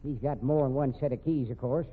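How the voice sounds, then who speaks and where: An actor playing a sly, folksy old amateur sleuth who repeats himself in a drawl, in vintage radio mystery style. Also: male voice, mid-range voice, steady tone, fairly quick, husky, close to an everyday voice. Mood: smugly explaining. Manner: repeats himself, folksy ungrammatical speech, chuckling. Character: wily folksy old man, amateur sleuth, slyly shameless. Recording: tinny, narrow-band old radio recording.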